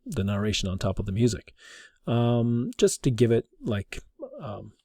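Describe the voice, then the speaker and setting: A man giving an informal, halting explanation as a teacher demonstrating something to a class. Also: medium pitch, animated, warm tone, no room sound, studio quality.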